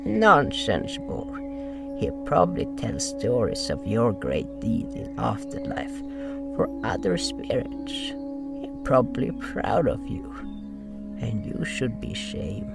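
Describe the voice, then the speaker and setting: Guy doing a caring fantasy healer telling his tale, really slow, kinda formal, gravelly, music behind. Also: mid-range voice, very animated, full character voice. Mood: reassuring. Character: River, gentle, caring healer with water powers. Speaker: narrator and lead character. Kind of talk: first-person fantasy audio drama narration and dialogue.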